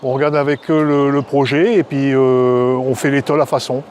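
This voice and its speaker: A man's voice: mid-range voice, level tone, normal speed, darkish tone, slight background noise. A man speaking as a company director in an interview, explaining the business in a plain, matter-of-fact way.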